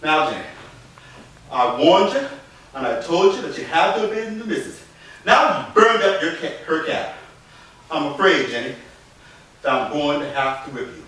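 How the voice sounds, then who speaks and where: A man's voice: a little high, big pitch swings, moderate pace, pauses often, pushed and bright. A man voicing a stern middle-aged plantation master in a storytelling performance. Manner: stern, then anguished. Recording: echoey room, distant mic.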